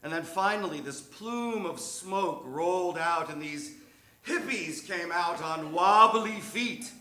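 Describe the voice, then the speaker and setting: A man reading a comic personal story live on stage in a theatrical, measured way. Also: high-pitched, lively intonation, pushed and bright. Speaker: reader of a humorous memoir story. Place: theatre stage before an audience. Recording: echoey live stage recording.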